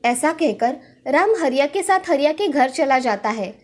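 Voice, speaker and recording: A woman's voice: high voice, lively intonation, unhurried, a little mellow. A woman reading a scripted children's story as its storyteller.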